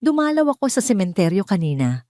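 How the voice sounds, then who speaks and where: A woman voicing a calm, tender, resolute heiress, speaking softly and earnestly in an audio drama. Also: medium pitch, fast, no echo, studio quality, natural voice. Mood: soft, reflective. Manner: soft-spoken, earnest.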